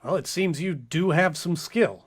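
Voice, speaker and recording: A man's voice: a little high, a little slow, breathy edge. A man playing an earnest, easily flustered starship captain in a comic radio play, with a theatrical delivery. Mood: humbled, gracious.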